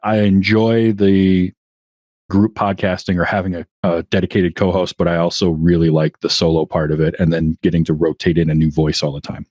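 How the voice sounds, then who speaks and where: A man guesting on a podcast, chatting in a relaxed way with plenty of fillers. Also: deep voice, normal speed, no echo, studio quality. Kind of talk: casual interview chat.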